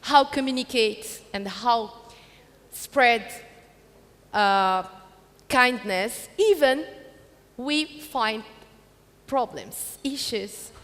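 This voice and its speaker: A woman speaking on stage in halting English, in short broken phrases. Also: a little high, a bit bright. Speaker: event speaker and presenter. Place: theatre stage. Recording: echoey hall, long reverb.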